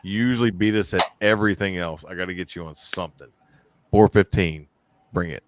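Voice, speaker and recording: Man, casual podcast host, lowish voice, dead-dry room. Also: steady tone, brisk, dry recording. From Alabama. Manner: rambling, joking, talks to chat by name. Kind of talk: casual live-stream chat.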